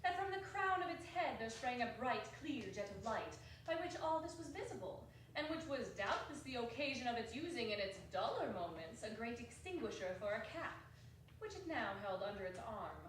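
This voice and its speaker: A woman's voice: on the high side, big pitch swings, moderate pace, slight background noise. Woman narrating in an echoey hall. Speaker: narrator in a staged dramatic reading. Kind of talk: theatrical narration. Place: small arts center stage. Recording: echoey hall, mic far off.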